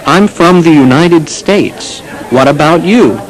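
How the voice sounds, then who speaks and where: An actor playing a polite sales manager in a scripted textbook dialogue, speaking clearly. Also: male voice, fairly high pitch, takes it slowly, soft background music, rough recording.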